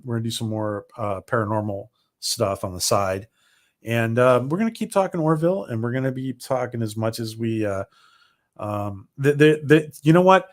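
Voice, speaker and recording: A man hosting a casual livestream, rambling with many ums and restarts as his voice starts to go hoarse. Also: medium pitch. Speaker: livestream host. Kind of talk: casual livestream chat reading viewer comments.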